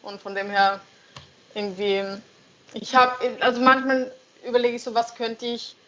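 A young woman talks through her songwriting in a relaxed interview, pausing and searching for the right words. Her voice sits a little high. The recording is dull and lo-fi. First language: German. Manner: hesitant, searching for words, laughs often. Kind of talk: casual interview answers. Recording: dull lo-fi sound.

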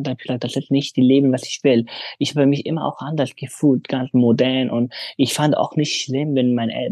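Man in his twenties, mellow husky voice, dry close sound, hesitant speech with many fillers. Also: medium pitch, no room sound. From Afghanistan. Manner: hesitant, many fillers, non-native grammar. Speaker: interview guest. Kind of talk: casual interview answers.

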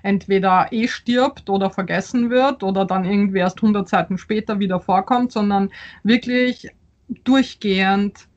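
A woman's voice: mid-range voice, moderate pace, darkish tone, muffled recording. Thoughtful bilingual woman, hesitant delivery. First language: Polish. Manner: thoughtful, hesitant, many fillers and restarts. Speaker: author. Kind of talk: interview answers.

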